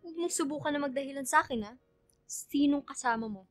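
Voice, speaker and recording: High-voiced strict Filipino mother acted by a woman. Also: quick pace, no room sound, lightly acted. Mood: stern, suspicious. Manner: stern, nagging, interrogating. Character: strict, scolding Filipino mother of a teenage student. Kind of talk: radio drama acting. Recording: dry studio with faint music.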